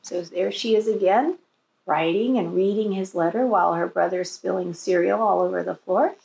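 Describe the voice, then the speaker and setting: A woman reading a storybook aloud to young children as a teacher, pausing to muse and explain simply. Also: fairly low pitch, creaky, darkish tone, low-quality recording. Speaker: kindergarten read-aloud teacher.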